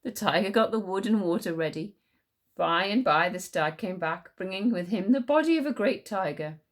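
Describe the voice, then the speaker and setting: A woman reading a story aloud as a teacher would, in an expressive storybook narration. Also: mid-range voice, normal speed.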